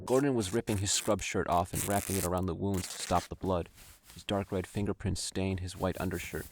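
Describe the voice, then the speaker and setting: A man's voice: low voice, fairly even intonation, brisk, a little hiss or hum. A man narrating a scripted story in a dramatic style, as the narrator of an audio drama. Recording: loud music behind the voice. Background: loud dramatic music.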